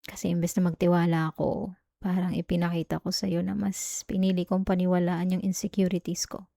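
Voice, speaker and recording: A woman's voice: on the low side, level tone, fairly quick, vocal fry, warm tone, no room sound, studio quality. A woman acting as a jealous, clingy young girlfriend in an intimate ASMR roleplay, with a Filipino accent. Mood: remorseful, tender.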